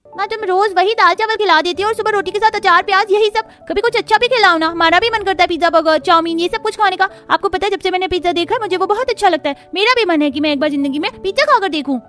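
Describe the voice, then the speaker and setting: A woman's voice: extremely high pitch, fast talker, a bit bright. A woman voicing an eager young village girl in a cartoon story, with childlike pleading. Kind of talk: Hindi cartoon story voice acting.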